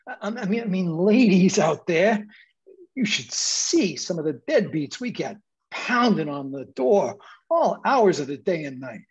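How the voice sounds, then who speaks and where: A performer playing a slangy, rough-talking 1920s speakeasy doorman in an audio drama. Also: medium pitch, big pitch swings, measured pace, highs cut off. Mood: flustered, apologetic. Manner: gruff slangy threats, apologetic stammering. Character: gruff speakeasy doorman with tough-guy slang, flustered underneath.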